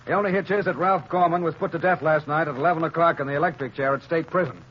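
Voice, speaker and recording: Man playing a brusque, high-pitched police commissioner. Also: fast, natural voice. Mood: scornful, impatient. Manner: brusque, sarcastic, condescending. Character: gruff, scornful male police commissioner. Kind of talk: 1930s radio acting. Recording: muffled, noisy 1930s radio recording.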